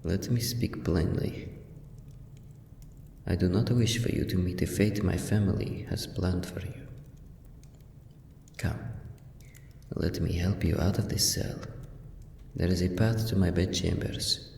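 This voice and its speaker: Man acting a low, gravelly vampire nobleman. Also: fairly even intonation, a little slow, long pauses, slight background noise. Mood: reassuring, hushed. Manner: formal, archaic, softly spoken. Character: gentle, sorrowful young vampire nobleman, secretly merciful. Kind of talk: ASMR fantasy roleplay.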